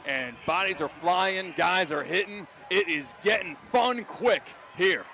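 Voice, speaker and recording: An American man calling live football play-by-play for radio, excitable and given to shouting on big plays. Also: high voice, unhurried. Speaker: play-by-play announcer. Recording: clear outdoor stadium background noise.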